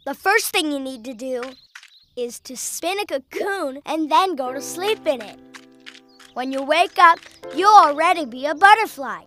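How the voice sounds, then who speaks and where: A woman voicing a kindly, reassuring animal friend who explains gently in a children's cartoon. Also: sits high, animated, a little slow, soft background music, full character voice.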